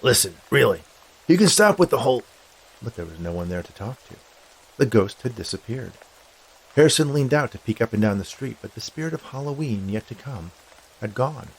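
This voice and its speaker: A man's voice: lowish voice, expressive, a little fast, a little mellow, breathy edge, poor audio. A man reading a scripted story as its narrator.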